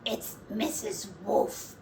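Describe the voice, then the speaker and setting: A woman voicing a sly female wolf posing as a polite babysitter in a children's storybook, with a gruff, hushed, breathy voice. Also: takes it slowly, very echoey, slight background noise, natural voice.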